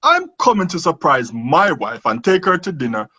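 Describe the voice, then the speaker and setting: An actor playing a hurt, sarcastic betrayed husband in a radio drama. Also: male voice, fairly high pitch, big pitch swings, normal speed, bright, forceful tone, husky, dull audio, heavily acted. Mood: indignant, sarcastic.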